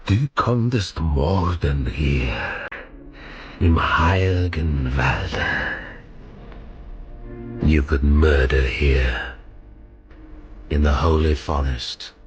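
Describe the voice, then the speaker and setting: Slow, solemn reciting voice. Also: male voice, extremely low pitch, slightly rough, muffled recording, faint music behind, heavily acted.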